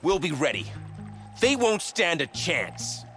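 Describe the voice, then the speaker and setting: An actor playing an eager, sincere young hero in an animated video game, earnest and encouraging. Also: male voice, on the high side, takes it slowly, full character voice. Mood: heroic, sincere. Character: eager, sincere, supportive young male hero and comic-book fan. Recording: music underneath the voice. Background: music.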